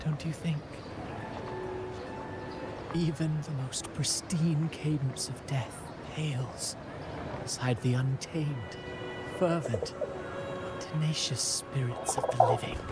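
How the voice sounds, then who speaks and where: A man playing a suave, philosophical villain playwright in a video game, measured and pompous in a theatrical way, with music behind. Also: fairly high pitch, steady tone. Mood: fervent, grandiose. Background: loud game music.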